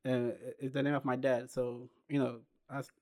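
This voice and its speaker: Ghanaian man with a flat, even delivery. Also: medium pitch. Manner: unhurried storytelling with pauses and restarts. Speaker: podcast guest, clothing brand founder. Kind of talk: casual interview chat.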